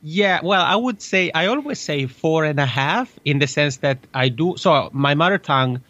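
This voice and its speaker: A man's voice: on the high side, expressive, pushed and bright, dry recording. Chatty Italian-accented man, dull dry audio. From Italy. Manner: cheerful, chatty, quick with laughs. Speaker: podcast guest. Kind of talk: casual interview chat.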